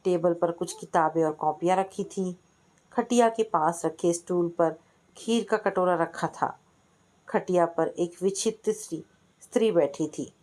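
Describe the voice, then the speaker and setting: Woman narrating a story, lowish voice. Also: unhurried, darkish tone, poor audio. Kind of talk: scripted story narration with acted dialogue.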